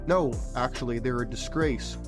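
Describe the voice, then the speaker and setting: A man hosting a podcast, his voice partly gone and hoarse, talking in a provocative, sarcastic, lecturing way. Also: mid-range voice, normal speed, over music. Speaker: podcast host. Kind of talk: opinionated podcast monologue.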